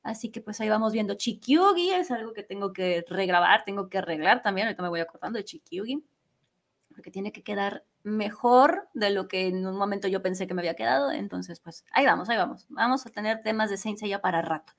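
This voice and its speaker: A woman's voice: medium pitch, a little fast, gravelly. A woman, chatting casually and a bit ramblingly as an interviewed fandub singer. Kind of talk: casual live interview chat.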